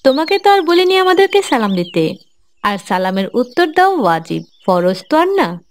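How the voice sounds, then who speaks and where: A woman voicing a brisk, scolding mother in a cartoon, speaking to her young daughter. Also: sits high, sing-song, slight background noise. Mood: annoyed. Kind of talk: animated cartoon dialogue.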